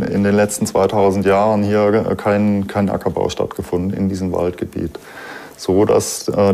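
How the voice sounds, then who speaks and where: A man gives a matter-of-fact expert explanation in an interview. His voice is low, dark and very even in pitch, and he halts and repeats words. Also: vocal fry.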